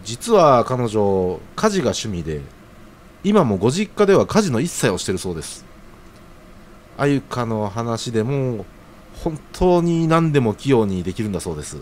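A man's voice: medium pitch, very animated, normal speed, a little mellow, a little hiss or hum, lightly acted. An actor playing an earnest, hesitant young man in an audio drama, speaking in a casual, deferential junior's way. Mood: earnest, worried. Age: young adult.

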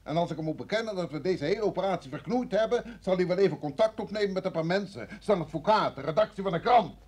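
A brusque senior official of a secret service in a vintage radio play. His husky voice sits fairly high and swings widely as he speaks with formal authority. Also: lively intonation, darkish tone. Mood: angry, commanding. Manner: authoritative, formal, measured phrasing. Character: senior security service chief, brusque, pressured, formally apologetic. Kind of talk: vintage Dutch radio drama acting.

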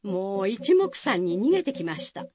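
A woman storyteller giving an expressive, dramatic scripted narration of a folk tale for radio. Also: mid-range voice, moderate pace, music in the background.

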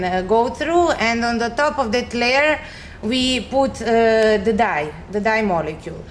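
A young woman with a Serbian accent and a fairly high voice explains science informally in an echoey room. She speaks simply and a bit hesitantly, like a researcher walking someone through her work, with faint noise in the recording. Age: young adult. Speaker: chemistry researcher, recent graduate.

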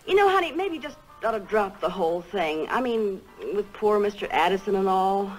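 A woman's voice: mid-range voice, expressive, moderate pace, soft background music, close to an everyday voice, poor audio. A woman playing a polite, flustered middle-aged aunt in a 1960s television detective drama. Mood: cautious.

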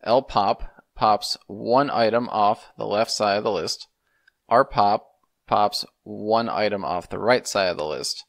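A man's voice: fairly low pitch, unhurried, vocal fry, fairly bright tone, dry recording. A man, explaining things methodically in a semi-casual screencast tutorial. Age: 30s. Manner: methodical, step-by-step instruction. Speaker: programming tutorial host.

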